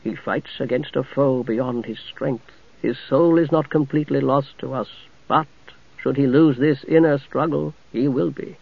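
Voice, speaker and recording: A man voicing the ghost of a solemn old knight, speaking slowly and hushed in riddles. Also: mid-range voice, creaky, breathy edge. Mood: pleading. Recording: dull, dry recording.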